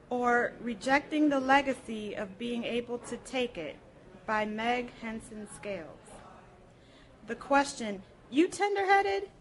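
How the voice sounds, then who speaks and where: A woman with a high voice performs a literary reading aloud. Her pace is unhurried, and she leans into the rhythm of the words with theatrical expression. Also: poor audio. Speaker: reader at a public library program. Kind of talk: expressive live reading of poetry and memoir.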